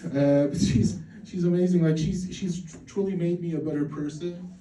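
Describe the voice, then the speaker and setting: Male stand-up comic, forties, from Poland, mellow low-key delivery, roomy club sound from a distance. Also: fairly even intonation, normal speed, slight background noise. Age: 40s. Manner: rambling, self-mocking, with crude punchlines and frequent restarts. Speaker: stand-up comedian. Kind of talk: stand-up routine. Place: comedy club stage.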